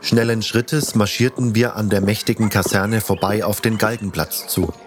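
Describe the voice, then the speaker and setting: A man's voice: lowish voice, fairly even intonation, moderate pace, noisy background. A man narrating a fantasy audio story in the first person, reading from a script as its protagonist. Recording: faint music behind the voice.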